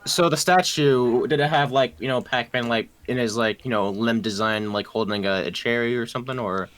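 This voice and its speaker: A man's voice: mid-range voice, normal speed, fairly bright tone. A young man of about twenty, a guest in a casual podcast chat, rambling with lots of "like" and "you know". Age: young adult.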